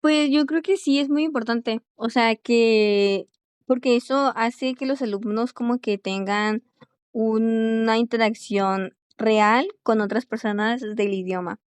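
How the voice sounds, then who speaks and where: A young woman speaks in a quick, chatty way, using este a lot as she thinks. Her voice is smooth, clear and a little high. The sound is close and dry. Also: dry recording. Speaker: English teacher and language student. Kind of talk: casual conversation.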